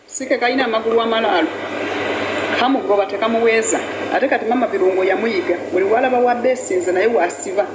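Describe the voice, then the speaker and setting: A woman's voice: fairly high pitch, quick pace. A woman hosting an entertainment news channel, giving chatty, opinionated gossip commentary with little hums and laughs between points. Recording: echoey room with loud background noise.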